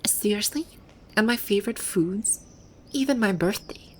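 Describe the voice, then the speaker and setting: A woman's voice: fairly low pitch, steady tone, takes it slowly, a little hiss or hum, low-quality recording. A woman voicing a haughty, bossy video-game villainess who stammers and halts when flustered, in an ASMR roleplay. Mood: softened, wondering. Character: haughty, flustered female video-game boss brought to life. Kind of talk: ASMR roleplay audio drama.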